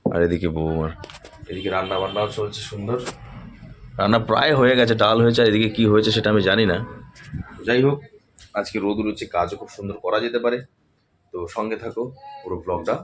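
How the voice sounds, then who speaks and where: A man's voice: fairly low pitch, quick pace, long pauses. A man giving casual daily vlog narration, speaking to his viewers as he goes about his day. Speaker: vlogger. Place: on location at home, roads and work sites. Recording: clear vehicle and outdoor noise.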